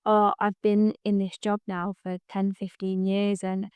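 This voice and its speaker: Soft-spoken, reflective woman guest. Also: medium pitch, little pitch movement, measured pace, clean tone, no echo, muffled recording. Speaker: business owner guest. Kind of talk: casual interview chat.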